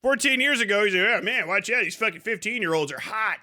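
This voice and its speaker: A man's voice: sits high, lively intonation, fast, bright, forceful tone. A man doing casual, profane streamer commentary in a sardonic, mocking way, acting out quotes as he goes. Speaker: streamer host reacting to clips.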